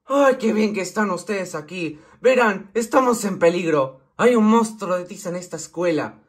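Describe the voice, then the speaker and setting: A man's voice: high voice, lively intonation, moderate pace. A man voicing a nerdy, panicky schoolboy in an amateur comic fandub, dramatic and pleading. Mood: earnest, alarmed. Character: panicky nerdy schoolboy frantic about a chalk monster.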